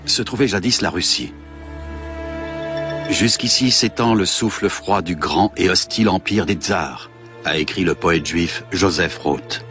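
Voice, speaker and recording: A man giving a measured, scripted documentary narration as a studio voice-over. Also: deep voice. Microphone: studio voice-over mic. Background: background music.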